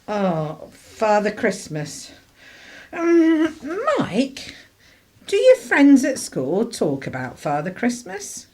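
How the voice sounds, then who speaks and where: A woman playing a sharp, harried English mother of the 1950s, dryly sarcastic, in a radio play. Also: mid-range voice, huge pitch swings, takes it slowly, exaggerated voice. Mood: probing. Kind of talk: British radio play acting and narration.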